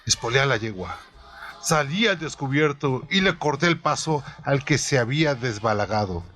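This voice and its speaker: A man reading a first-person story aloud in a steady storytelling manner, his voice falling low at the ends of phrases. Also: normal speed, soft background music. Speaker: narrator. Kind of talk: scripted audiobook narration.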